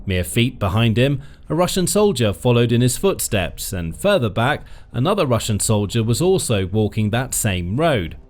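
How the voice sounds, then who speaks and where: A man reading a scripted military news narration with dramatic, emphatic pauses. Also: medium pitch, sing-song, darkish tone. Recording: faint music bed behind the voice.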